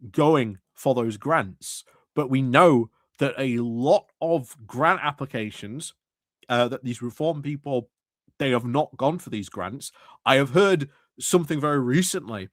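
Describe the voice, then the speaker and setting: A man's voice: on the high side, a bit bright, no room sound, clean studio sound. A man hosting a stream, giving rambling, sardonic commentary on local politics with frequent restarts and chuckles. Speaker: stream host commenting on council meetings.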